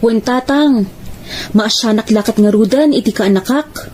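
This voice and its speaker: An actress with an Ilocano Filipino accent playing a kind, dutiful young elder sister in a radio drama. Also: female voice, a little high, normal speed, a little mellow, lightly acted. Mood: respectful, earnest.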